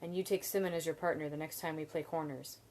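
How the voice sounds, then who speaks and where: A woman reading the lines of a quick-witted, poor young male student, with dry, wry replies. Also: sits low, fairly even intonation, darkish tone, a little hiss or hum, lightly acted.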